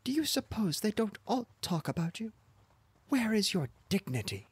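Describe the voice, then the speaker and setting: A man's voice: high voice, big pitch swings, normal speed, slightly rough, no echo, voice pushed up, heavily acted. A man voicing a small, furious, scolding wife in an audiobook, wailing and reproachful. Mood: scolding, indignant.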